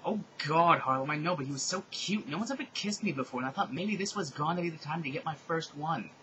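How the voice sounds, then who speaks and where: A man's voice: fairly high pitch, expressive, quick pace, muffled recording, noisy background, pitched above the performer's own voice, close to an everyday voice. A man voicing a bratty, spiteful, easily outraged teenage girl in a melodramatic, exclaiming way for a story reading. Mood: whiny defensive.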